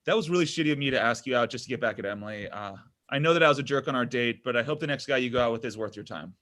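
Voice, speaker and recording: A man's voice: mid-range voice, racing, fairly bright tone, lightly acted. A man playing a self-absorbed, needy young man in a comedy table read, melodramatic and whiny. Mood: awkward, apologetic.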